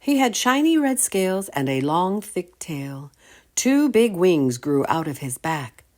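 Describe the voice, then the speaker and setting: A woman with a low voice reads a children's story aloud. Her delivery is slow and deliberate, with lively, expressive swings in pitch. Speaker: storytime reader. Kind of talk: children's story read-aloud with questions to listeners.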